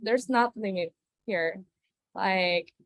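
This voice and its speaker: A woman's voice: mid-range voice, takes it slowly, fairly bright tone, dry recording. Earnest Venezuelan woman, very dry sound. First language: Spanish. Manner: earnest, searching for words with many restarts. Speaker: storyteller guest. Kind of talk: personal show-and-tell story on a video call. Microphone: video call.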